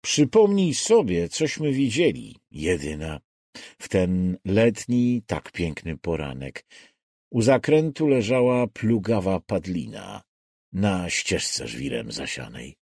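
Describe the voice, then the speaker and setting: Low, slow-paced male audiobook narrator. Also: very animated, a little mellow, no echo, clean studio sound. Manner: measured, deliberate, dramatic phrasing. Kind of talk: scripted literary audiobook narration.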